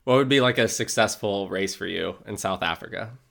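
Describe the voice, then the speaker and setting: A man's voice: on the low side, fairly quick, vocal fry, a bit bright. A young man hosting a sports podcast, chatting casually and enthusiastically, full of "like" and "super". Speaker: podcast host. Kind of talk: casual interview chat.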